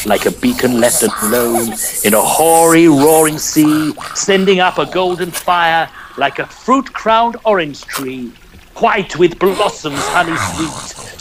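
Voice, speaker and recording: A man's voice: on the high side, background noise. A man narrating a poem in a theatrical old-style radio storytelling manner, with varied emphasis. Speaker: podcast narrator.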